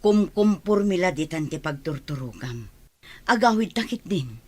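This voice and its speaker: A woman's voice: lowish voice, big pitch swings, moderate pace. An actress with a Filipino accent playing a worried, exasperated middle-aged mother in a radio drama.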